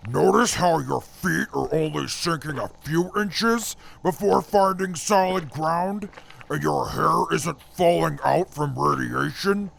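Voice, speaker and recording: A man's voice: fairly high pitch, slow, vocal fry, a bit bright, a little hiss or hum, heavily acted. A man playing a disdainful ex-army scout in an audio drama, dry, stern and formal. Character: gruff, disdainful ex-army wasteland scout, male. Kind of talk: post-apocalyptic audio drama acting.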